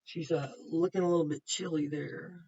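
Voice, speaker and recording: A woman's voice: low voice, steady tone, normal speed, warm tone, slightly rough. A woman kindergarten teacher reading a picture book aloud to young children, with simple explanations and chatty asides. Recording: muffled, distant microphone.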